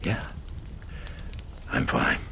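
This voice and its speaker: A man's voice: extremely slow, pushed and bright, a little hiss or hum. A man voicing a distraught middle-aged mother who shrieks and sobs near hysteria. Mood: tense. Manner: shrieking, sobbing, pleading.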